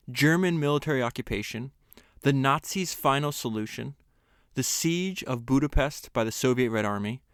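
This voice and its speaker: A man hosting a podcast, reading a book excerpt aloud in an earnest, measured way and then commenting on it. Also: medium pitch, fairly bright tone, clear, resonant tone, no room sound, clean studio sound. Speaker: podcast host.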